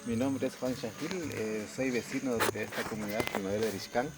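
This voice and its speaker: A middle-aged man whose first language is Kaqchikel gives an earnest, steady testimony with pauses. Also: lowish voice, loud music behind the voice, poor audio. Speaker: community resident sharing testimony. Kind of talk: testimony interview.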